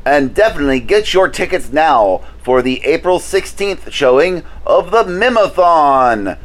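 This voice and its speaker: Man as a theatrical, lively mad-doctor horror host. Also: on the high side, a little slow. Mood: showy, playful. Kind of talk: horror host comedy segment.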